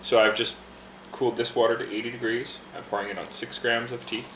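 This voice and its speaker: A man working behind a tea bar casually explains how to brew a green tea, talking in a relaxed way with fillers and restarts. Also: mid-range voice, brisk, reverberant, background noise. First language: English. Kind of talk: casual instructional demonstration.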